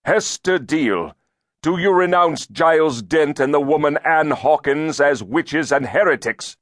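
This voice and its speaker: A man voicing a demon in the body of a stern Puritan elder, loudly and pompously denouncing as commander and accuser. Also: fairly high pitch, lively intonation, no room sound, clean studio sound, pitched above the performer's own voice. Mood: stern, accusing. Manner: loud, slow, pompous denunciation.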